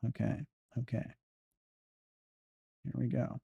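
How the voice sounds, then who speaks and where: A man's voice: mid-range voice, flat delivery, takes it slowly, gravelly, breathy edge, dry recording, dull audio. A man giving casual gameplay commentary as a speedrun streamer, rambling and correcting himself. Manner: rambling, self-correcting banter.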